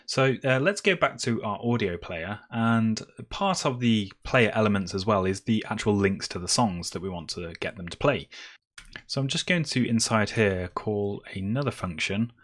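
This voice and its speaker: A man's voice: lowish voice, animated, normal speed, fairly bright tone. A man presenting a screencast tutorial, explaining step by step in a semi-improvised way as he works. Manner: step-by-step, explaining while typing.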